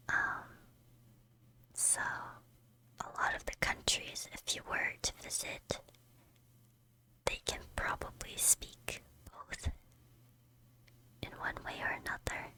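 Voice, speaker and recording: Female streamer, first language Korean, slow breathy whisper with a lot of ums, very high and sharp-toned. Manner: soft, unvoiced whispering; hesitant, with frequent ums and soft laughs. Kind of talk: whispered ASMR chat with chat readers.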